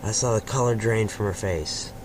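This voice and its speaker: A man's voice: lowish voice, normal speed, background noise. A man reading first-person fan fiction aloud as the story's narrator in a scripted, dramatic style.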